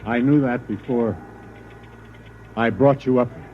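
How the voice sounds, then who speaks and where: Male actor as blinded lieutenant in 1950s space serial: unhurried, fervent, stubborn, on dulled, lightly noisy tape. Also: medium pitch, fairly even intonation, close to an everyday voice. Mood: resolute. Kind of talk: 1950s radio drama acting. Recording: muffled old radio broadcast, faint noise.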